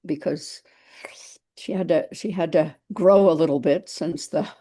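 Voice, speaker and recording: A woman's voice: low-pitched, unhurried, dark tone. A woman in a study group on a video call, reasoning aloud tentatively and trailing off. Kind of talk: casual group discussion.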